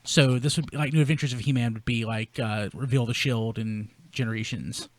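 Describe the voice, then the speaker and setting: A man's voice: medium pitch, fairly quick. Wry male host, casual and emphatic. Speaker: podcast host. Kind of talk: casual hosting chat.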